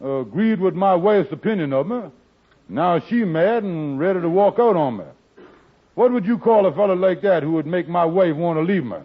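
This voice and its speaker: An indignant, blustering husband from a vintage radio sitcom. His voice sits high for a man, with folksy, ungrammatical phrasing. The sound is muffled, like an old broadcast recording. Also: normal speed. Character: Kingfish, indignant, blustering husband turned amateur sleuth. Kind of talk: golden age radio sitcom acting.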